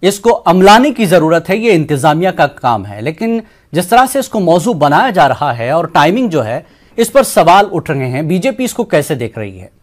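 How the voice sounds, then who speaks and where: A man's voice: fairly high pitch, sing-song, moderate pace. A male TV news anchor with an Indian accent moderating a debate and pressing guests with questions. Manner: probing, prompting with short interjections.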